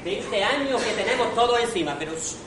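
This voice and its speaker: A man's voice: high-pitched, lively intonation, fairly quick. A man playing a flustered, bumbling farce suitor, far off. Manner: stammering, flustered, repeats himself. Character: nervous bumbling male impostor fiancé, improvising excuses. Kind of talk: amateur stage farce acting. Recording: distant echoey hall, noisy audience.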